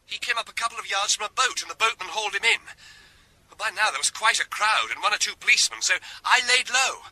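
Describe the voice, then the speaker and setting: An actor playing an eager, slightly abashed junior police detective making an earnest report in a radio drama. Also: male voice, high-pitched, fast, sharp tone, heavily acted, rough recording. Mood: earnest, flustered.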